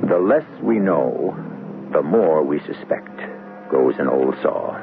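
Deep-voiced, theatrical radio drama host. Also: male voice, animated, slow, gravelly, over music. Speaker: host and narrator. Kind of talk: 1970s radio drama scripted narration. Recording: tinny old radio recording.

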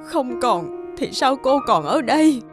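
Cartoon grieving old mother, high, plaintive, confused, music underneath. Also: big pitch swings, exaggerated voice. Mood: sorrowful, resigned. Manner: plaintive, halting, repeating herself. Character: grieving, confused elderly peasant mother searching for her son. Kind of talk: animated folk tale voice acting. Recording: music behind the voice.

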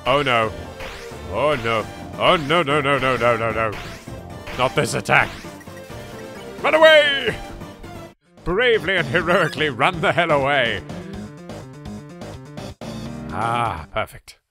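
A man's voice: mid-range voice, very animated, a little slow, gravelly, long pauses, over music. A man with an English accent giving theatrical, wry commentary as he plays a video game. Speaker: let's play host. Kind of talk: gameplay commentary.

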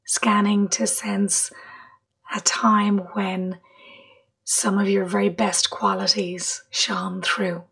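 A woman leading a guided meditation in a soft, breathy voice, gently, with long pauses between short phrases. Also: mid-range voice, little pitch movement, low-quality recording. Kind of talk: guided meditation, slow and measured.

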